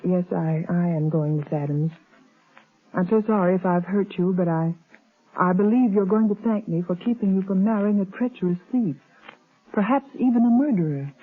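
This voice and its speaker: A woman's voice: on the low side, normal speed, breathy edge. An actress playing a brave, concerned young woman in a 1930s radio drama, earnest and urgent as she presses her questions. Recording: tinny lo-fi 1930s radio broadcast.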